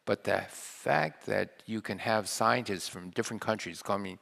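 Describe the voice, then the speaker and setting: Older man, very low and gravelly. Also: normal speed, a bit bright. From United States. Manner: earnest, with many restarts. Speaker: panel guest, scientist. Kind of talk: panel discussion. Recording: reverberant hall.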